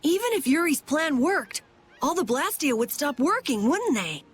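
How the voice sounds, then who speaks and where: A woman voicing an excitable young boy, timid but defiant, in a video game. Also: sits high, moderate pace. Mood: earnest, curious. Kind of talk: English video game voice acting.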